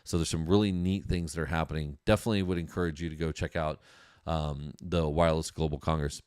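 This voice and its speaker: Deep-voiced man, casual podcast host. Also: steady tone, fast, a little mellow, clean tone, dry recording, clean studio sound. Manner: conversational, enthusiastic, repeats phrases for emphasis. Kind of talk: casual industry news talk to an audience.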